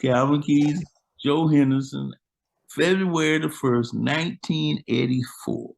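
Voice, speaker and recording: Gravelly older man telling stories. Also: on the high side, steady tone, slow pace, low-quality recording. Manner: laid-back, slangy, retells old conversations. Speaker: musician guest. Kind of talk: casual chat, storytelling.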